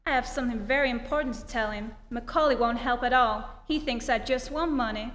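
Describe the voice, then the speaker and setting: In a reverberant hall with faint noise, a woman plays a radio-drama society matron. She is high-pitched, effusive and theatrical, by turns pleading and snappish. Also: a little fast, a bit bright, lightly acted. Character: gushing, overdramatic society mother, pleading and snappish. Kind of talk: old-time radio play re-enactment.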